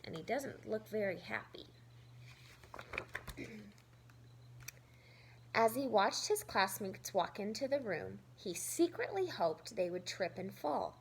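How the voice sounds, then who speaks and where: Slow, animated woman reading to children. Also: mid-range voice, a little hiss or hum. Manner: simple explanations addressed to young children. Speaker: teacher reading aloud to pupils. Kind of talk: expressive children's story read-aloud with asides.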